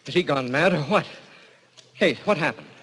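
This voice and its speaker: A man's voice: on the high side, animated, moderate pace, natural voice. An actor playing a loyal, earnest young man in a 1940s radio drama, with an urgent, plain-spoken delivery. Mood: startled. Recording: reverberant, noisy vintage 1940s radio recording.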